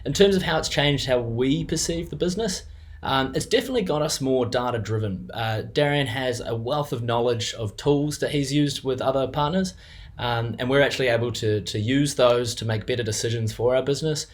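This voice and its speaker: Man, New Zealand accent, young adult, middle pitch, hesitant, lots of ums and restarts, unscripted testimonial. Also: fairly quick. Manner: hesitant, many fillers and restarts. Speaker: business co-owner giving a client testimonial.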